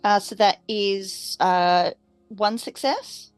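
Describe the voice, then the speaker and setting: A woman's voice: mid-range voice, slow pace, clean tone, dry recording, soft background music. A woman playing a tabletop roleplaying game, chatting casually and thinking aloud hesitantly.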